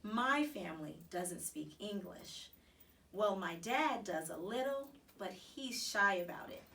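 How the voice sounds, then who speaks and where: A woman voicing a shy, gentle schoolgirl who speaks in a confiding way in a storybook reading. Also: medium pitch, expressive, takes it slowly, creaky, distant mic, heavily acted.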